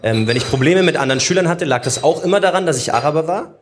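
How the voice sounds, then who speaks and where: A man's voice: a little high, animated, fast talker, a little hiss or hum. A young man born in Germany answering interview questions on stage in an easygoing, candid way. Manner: easygoing, laughs often, candid. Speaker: guest.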